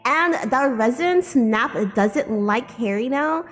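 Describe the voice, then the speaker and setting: A woman's voice: on the high side, expressive, takes it slowly. A woman streamer giving a theatrical, amused live dramatic reading of fan fiction. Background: faint music.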